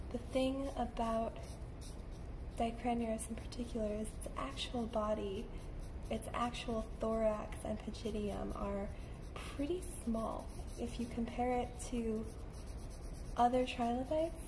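Young woman doing asmr drawing tutorial, soft hushed kinda high voice, pencil scratches and clear background noise. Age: young adult. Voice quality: soft, hushed, sometimes slipping into whisper. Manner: unhurried, informal, thinking aloud while drawing. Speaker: illustrator and paleontology student demonstrating drawing. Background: pencil and paper sounds, room noise.

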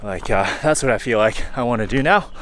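A relaxed man in his forties talks into a camera he holds at arm's length while he hikes a forest trail. He is sweaty in the heat and rambles easily, with plenty of fillers. His pitch sits mid-range, with faint outdoor noise behind him. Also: fairly quick. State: sweaty and hot while hiking. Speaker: hiking vlogger. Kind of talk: casual vlog talk to camera. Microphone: handheld camera held at arm's length.

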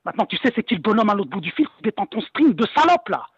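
A man's voice: high-pitched, big pitch swings, fast, husky, no echo. A man on a phone call, aggressive and threatening, cursing and swearing heavily. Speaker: seller pranked on the phone. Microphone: heard over a phone call. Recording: dull lo-fi phone line.

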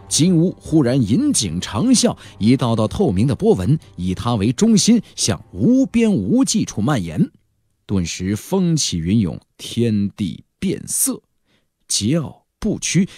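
A man's voice: medium pitch, a little mellow, breathy edge. A man narrating a fantasy audiobook in a theatrical, emphatic style, drawing out key phrases for drama. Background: faint background music.

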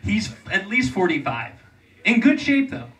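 A young man co-hosting a live podcast on a club stage, teasing his partner with quick side remarks and frequent laughs. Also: high voice, big pitch swings, a bit bright, distant mic. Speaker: podcast co-host. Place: comedy club stage before an audience. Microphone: handheld mic.

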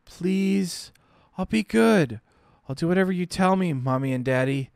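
A man takes on a dying young woman lost in delirium, her voice pitched high and small like a child's. She pleads and clings, rising and falling with lively swings in pitch, close and dry to the ear. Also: a little slow, creaky, clear, resonant tone, no echo, heavily acted. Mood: pleading, delirious.

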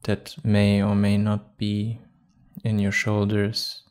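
Very slow, even-toned male meditation guide. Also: on the low side, smooth. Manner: calm, unhurried, with long pauses between short phrases.

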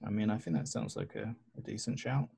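Husky man playing a tabletop game. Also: fairly low pitch, wildly swooping pitch, a little fast, dark tone. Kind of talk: casual in-game questions and chat. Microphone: group video call.

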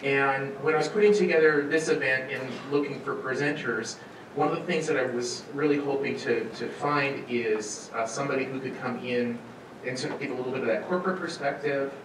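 Male host, middle-aged, wry and halting conference introduction, distant with clear background noise. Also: mid-range voice, steady tone. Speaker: event organizer introducing a speaker. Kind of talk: informal spoken introduction at a conference. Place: auditorium stage at a podium. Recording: echoey hall, distant, noisy room sound.

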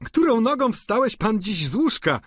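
A man's voice: sits high, very animated, moderate pace, no room sound, clean studio sound, voice pushed up. A man voicing an older brusque landlady in a storybook reading, with a raised, put-on female voice. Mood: teasing.

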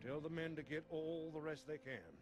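An actor playing a steady WWII airborne officer in a game cutscene, briefing his men plainly and with command. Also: on the high side, moderate pace, over music, close to an everyday voice. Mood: grave, steady. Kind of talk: video game cutscene acting.

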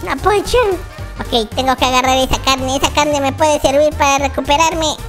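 A very high, squeaky little baby boy narrates a block-game adventure. The delivery is excitable, dramatic and repetitive, like a childish hero talking to viewers, with prominent music behind it. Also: moderate pace. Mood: focused, chatty. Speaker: gaming video host. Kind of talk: Minecraft roleplay commentary. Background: children's background music.

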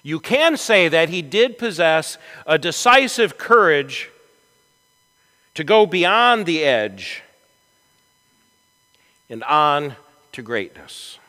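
A man preaching a storytelling sermon in a church, with a dramatic, deliberate delivery and emphatic swells. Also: fairly high pitch, big pitch swings, bright, forceful tone. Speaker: pastor preaching. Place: large church sanctuary, from the chancel. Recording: echoey church hall with long reverb.

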